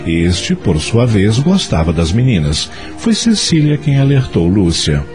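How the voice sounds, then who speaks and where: A man's voice: fairly low pitch, huge pitch swings, a little slow, music in the background. A man with a Brazilian accent narrating a scripted radio drama.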